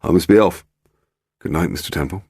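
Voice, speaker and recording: A man's voice: low voice, fairly quick, darkish tone, breathy edge, no room sound, studio quality. A man voicing a grim, terse English chauffeur-handyman who speaks reluctantly and with deference. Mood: curt, pointed.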